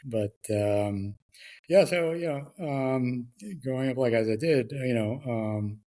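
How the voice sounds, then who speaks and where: Middle-aged New York-raised guest, hesitant and filler-heavy, mid-pitched, remote call. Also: male voice, dark tone. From New York, the Bronx. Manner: halting, many fillers and repeated words. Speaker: guest, regulatory affairs expert. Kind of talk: casual interview chat.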